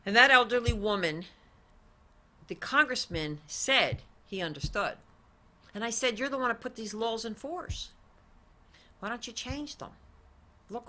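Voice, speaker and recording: A forceful, bright-voiced woman hosting a talk show straight to camera. She speaks emphatically and drives her points home by repeating them. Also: on the low side. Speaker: talk show host and credit advisor.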